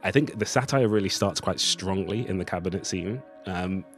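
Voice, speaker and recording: Guy, really deep voice, writer chatting reflectively and at length, bit of music behind. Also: normal speed. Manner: thoughtful, discursive. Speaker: writer of a radio play, interviewee. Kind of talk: reflective conversational interview.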